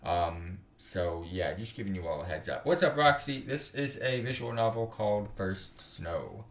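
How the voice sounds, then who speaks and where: Male game streamer, young adult, lively low voice, casual read-aloud with joking asides, roomy home recording. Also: moderate pace. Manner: stumbles while reading aloud, slangy joking asides. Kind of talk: casual livestream, reading visual novel text aloud with side comments. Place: indoors at a computer.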